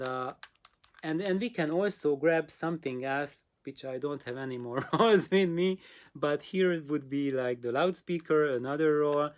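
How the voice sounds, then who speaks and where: Halting explainer in casual technical talk. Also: medium pitch, a little mellow. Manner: halting, pausing, explains with simple hands-on analogies. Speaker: host answering audio questions.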